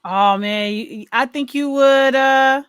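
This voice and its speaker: Higher-voiced woman in casual chat, dry sound. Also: slow pace, a bit bright, clean tone, dry recording. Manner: repeats words and phrases as she reacts. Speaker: podcast co-host.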